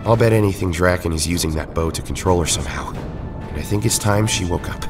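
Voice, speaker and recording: A deep-voiced heroic leader acted by a man in an audio drama. He speaks firmly and dryly, with earnest resolve, over loud music. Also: a little fast. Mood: earnest, resolved. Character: steady, wry heroic male Power Ranger leader.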